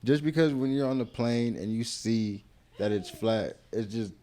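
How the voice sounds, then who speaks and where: A man's voice: medium pitch, normal speed, dark tone. A man as a podcast guest, chatting casually with loose, profane talk and easy laughter. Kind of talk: casual chat.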